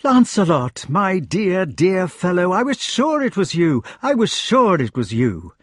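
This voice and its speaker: A man voicing an excited, affectionate storybook knight, gushing and exclaiming as he speaks. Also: sits high, unhurried, husky, dry recording, studio quality, pitched above the performer's own voice, exaggerated voice. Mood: overjoyed. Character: excited, affectionate knight searching for his lost friend. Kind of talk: storybook character voice.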